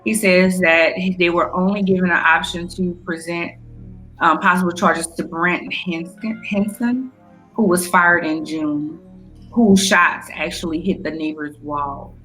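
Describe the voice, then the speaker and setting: Bright, forceful American woman podcaster. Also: fairly low pitch, steady tone, unhurried, soft background music, low-quality recording. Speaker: podcast co-host. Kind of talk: casual news discussion between friends.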